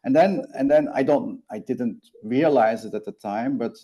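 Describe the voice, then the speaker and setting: A man in his forties from Belgium, a native Dutch speaker, telling his personal story as an interview guest in an earnest, halting way with frequent restarts. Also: medium pitch, warm tone, breathy edge. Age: 40s.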